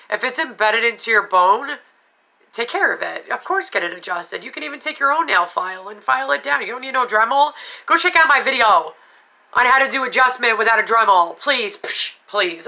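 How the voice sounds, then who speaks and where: A woman's voice: medium pitch, creaky, bright, forceful tone. A woman in her thirties talking casually to a phone camera at home, chatty and blunt, drifting off topic, her voice nasal and congested from allergies. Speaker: vlogger. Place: small room at home. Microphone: phone camera at arm's length.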